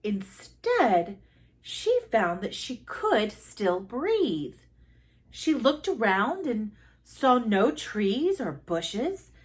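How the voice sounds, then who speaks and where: A woman reading a children's story aloud as expressive narration, with deliberate dramatic emphasis and pauses. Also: fairly high pitch. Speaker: storybook reader.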